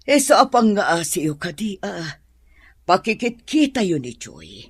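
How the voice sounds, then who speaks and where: Soft-hearted mother for Ilocano audio drama female actor: husky, unhurried, halting, Filipino accent. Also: medium pitch, big pitch swings, dry recording. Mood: sorrowful, pleading. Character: soft-hearted middle-aged mother defending her struggling daughter.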